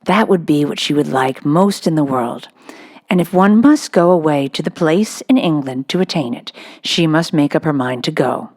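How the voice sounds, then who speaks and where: Low-pitched woman narrating a children's storybook. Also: normal speed, a little mellow. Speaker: narrator reading a children's book.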